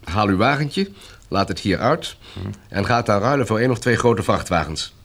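An actor playing a brisk, bureaucratic colonel in a radio drama, with a clipped, orderly way of questioning. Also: male voice, fairly low pitch, lively intonation, fairly bright tone. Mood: businesslike, practical. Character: brisk, bureaucratic male colonel running a survivors' camp. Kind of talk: Dutch radio drama acting.

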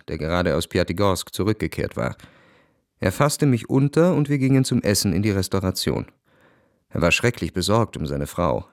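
An actor playing a cynical, world-weary young officer narrating his diary in a cool, measured, introspective way. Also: lowish voice, darkish tone, dry recording, clean studio sound. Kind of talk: literary radio drama narration, diary-like first person.

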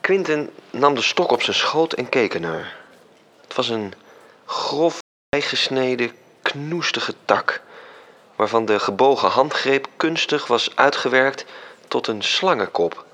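An actor playing a measured storyteller, reading scripted literary narration for a radio drama. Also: male voice, medium pitch, animated, pushed and bright, full character voice. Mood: reflective. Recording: music under the voice. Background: music.

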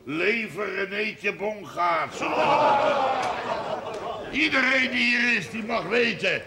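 An actor playing an excitable village man in a Dutch period TV drama, talking in a colloquial, animated way. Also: high-pitched, takes it slowly, vocal fry, very noisy, exaggerated voice. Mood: insistent, rousing. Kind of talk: 1970s Dutch television period drama acting. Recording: TV soundtrack amid loud crowd murmur.

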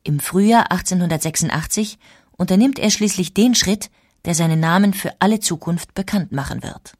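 A woman reads scripted documentary narration. Her voice lies a little lower than usual for a woman. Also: moderate pace, darkish tone.

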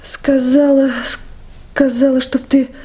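Young river station girl, high mellow voice, naive n shaken, halting, soviet radio play. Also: completely flat delivery. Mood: shaken, hurried recounting. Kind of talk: Soviet radio drama acting.

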